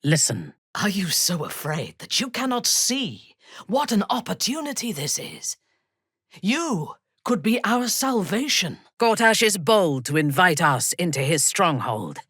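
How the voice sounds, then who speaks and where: A woman playing a haughty, ruthless drow warrior in a video game, with a husky, breathy voice and formal, imperious diction. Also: fairly low pitch, big pitch swings, measured pace, a bit bright, dry recording, clean studio sound, full character voice. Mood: urgent, grave. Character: haughty, ruthless female drow warrior, devout and coldly seductive. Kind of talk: video game character acting.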